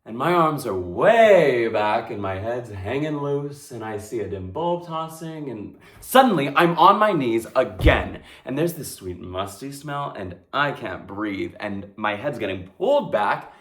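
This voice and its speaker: A man playing a dazed, terrified young street hustler in a breathless, halting dramatic stage monologue. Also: medium pitch, very animated, a bit bright. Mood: shaken, hushed. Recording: echoey room.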